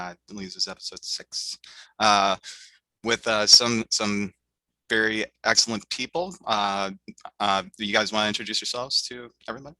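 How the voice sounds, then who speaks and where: A man's voice: fairly low pitch, sharp tone, no room sound, rough recording. A man hosting a casual movie podcast, who rambles and fills his talk with many fillers. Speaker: podcast host. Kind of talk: casual chat.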